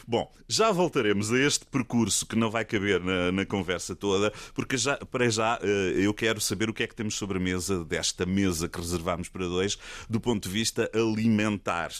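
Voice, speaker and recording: Portuguese guy hosting a radio chat, lowish voice, dry close mic, chatty and laughing a lot. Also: normal speed, dry recording. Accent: European Portuguese. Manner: chatty, laughs often, searches for words. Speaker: radio host. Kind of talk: relaxed radio interview.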